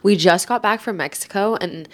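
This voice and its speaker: A woman's voice: mid-range voice, normal speed. Woman, twenties, podcast host, casual solo chat, stuffy from a cold, rambling, lots of "like". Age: 20s. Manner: chatty, rambling, says "like" a lot.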